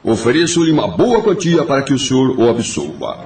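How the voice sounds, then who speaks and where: An actor playing an implacable criminal blackmailer in an audio drama, formal and insinuating, with quiet menace. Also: male voice, mid-range voice, huge pitch swings, a little fast, echoey room, loud music behind the voice. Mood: coolly menacing. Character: implacable male blackmailer, smooth-talking criminal uncle.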